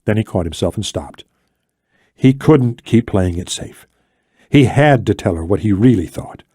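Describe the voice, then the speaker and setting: A man narrating an audiobook of fiction with an expressive, dramatic delivery. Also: lowish voice, unhurried, breathy edge, dry recording, clean studio sound.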